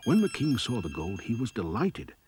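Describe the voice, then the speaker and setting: A man narrating scripted fairy tales for children in an expressive, dramatic way. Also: lowish voice, normal speed, over music. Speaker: storyteller, narrator.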